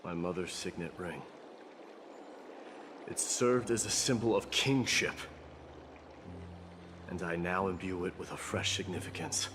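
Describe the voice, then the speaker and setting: A man's voice: low voice, measured pace, long pauses, background noise. An actor playing a solemn, resolute warrior king turned god in a video game, speaking in a grave, commanding, formal way. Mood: solemn, exhorting. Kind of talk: dramatic video game voice acting. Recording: loud music under the voice.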